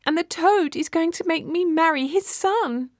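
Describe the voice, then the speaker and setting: A woman's voice: extremely high pitch, moderate pace, dry recording, studio quality, pitched above the performer's own voice. A woman voicing a tiny, sweet, frightened little girl in a storybook tale, with a shaky voice. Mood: frightened, tearful.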